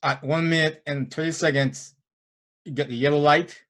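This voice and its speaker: A man's voice: on the high side, fairly even intonation, normal speed, fairly bright tone. A man serving as meeting timer, reading out the timing rules in an orderly, matter-of-fact way over a video call.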